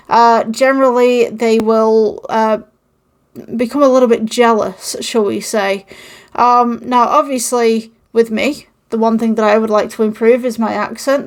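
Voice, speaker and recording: A woman from the United Kingdom with a mixed, Manchester-tinged northern English accent, talking casually to camera with many ums, recorded on an old microphone with a phone. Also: on the high side. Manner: rambling and encouraging, many ums. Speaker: channel host. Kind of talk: casual podcast-style talk to camera.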